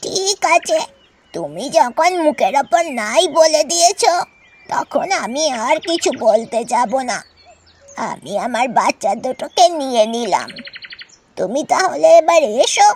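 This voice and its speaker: Sly cawing crow mom for a cartoon, high pitched, lively swings. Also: female voice, measured pace, slight background noise, exaggerated voice. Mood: offended. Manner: wheedling, cawing. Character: touchy, scheming, vengeful mother crow. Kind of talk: cartoon voice acting.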